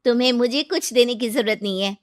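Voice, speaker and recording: A gentle, caring motherly woman with a soft, kind delivery, as the narrator puts her on. Her voice sits at an everyday pitch and is recorded dry and close. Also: a little fast, no room sound, natural voice.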